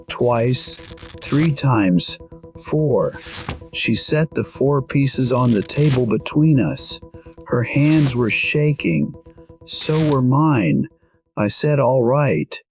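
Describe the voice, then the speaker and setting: An actor playing a gentle, wistful musician in his sixties, narrating an audio drama in short, measured, reflective sentences. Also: mid-range voice. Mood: quiet, moved. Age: 60s. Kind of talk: first-person scripted audio drama narration. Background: faint music.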